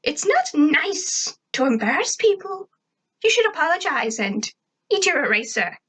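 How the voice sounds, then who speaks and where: A young schoolgirl from a cartoon-style story, acted by a woman narrator, pitched well above an everyday voice. She is perky and showy, with a sweet but bossy push to her delivery. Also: sing-song, unhurried, fairly bright tone, exaggerated voice. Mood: scolding. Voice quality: high-pitched. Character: perky young new schoolgirl with a high accent.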